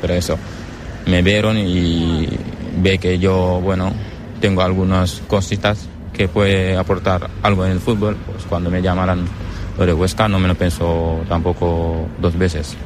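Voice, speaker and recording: Soft-spoken young man from Senegal, very low voice. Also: flat delivery, a little slow, background noise. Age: young adult. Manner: hesitant, searching for words. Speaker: footballer guest. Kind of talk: casual interview.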